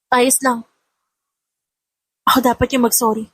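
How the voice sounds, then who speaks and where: A woman's voice: a little high, level tone, a little slow, fairly bright tone, husky, no room sound, studio quality. A woman voicing a flustered, playful young mother in an audio romance, bashful and teasing. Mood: embarrassed.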